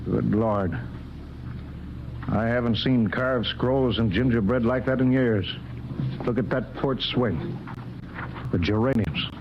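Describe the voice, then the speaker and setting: A man's voice: medium pitch, slow, long pauses, muffled recording, noisy background. An actor playing an authoritative, skeptical male spaceship captain in an old-time radio drama. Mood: wondering. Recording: old radio broadcast, music behind.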